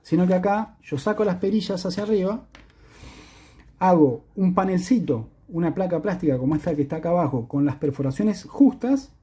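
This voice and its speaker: A man's voice: high-pitched, expressive, brisk, warm tone, slightly rough. A man with an Argentine Spanish accent presenting a hands-on electronics tutorial, explaining step by step and often checking in with the listener.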